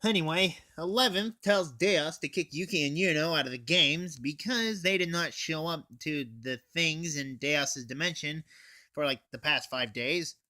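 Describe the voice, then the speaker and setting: A man's voice: on the high side, normal speed, bright, forceful tone, dry recording. A teenage male reviewer giving an informal, sweary, scripted comic recap. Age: teens. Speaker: anime reviewer.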